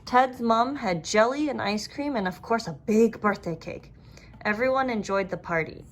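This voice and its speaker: A woman reading a children's storybook aloud as narrator, with expressive storytelling. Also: fairly high pitch, moderate pace. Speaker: storybook reader.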